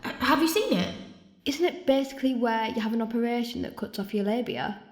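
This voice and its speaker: High-voiced, curious young woman , acted, roomy. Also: lively intonation, a little fast, close to an everyday voice. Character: curious, blunt young woman asking awkward questions. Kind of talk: comic radio drama. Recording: roomy echo, faint music.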